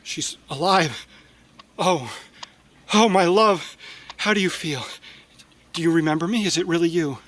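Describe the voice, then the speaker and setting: A man's voice: fairly high pitch, a little slow, a bit bright. A man playing an obsessed, lovesick inventor who pleads tenderly in a steampunk audio drama. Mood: anxious, tender.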